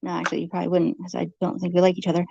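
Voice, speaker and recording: Woman with vocal fry, argumentative and repetitive, informal monologue, dull dry sound. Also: fairly low pitch, completely flat delivery, a little mellow, no echo. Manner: accusatory, halting, repeats phrases.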